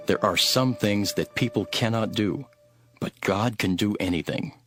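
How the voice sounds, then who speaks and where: A man playing a calm, authoritative religious teacher in a dramatized scripture, solemn and measured. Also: fairly low pitch, dry recording, studio quality. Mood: solemn, assuring. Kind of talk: dramatized scripture reading with storytelling. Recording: background music under the voice.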